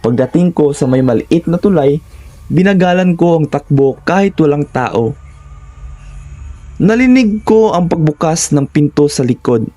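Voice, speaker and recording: A man's voice: a little high, lively intonation, unhurried, mellow. A man with a Filipino accent giving a scripted first-person narration of horror stories.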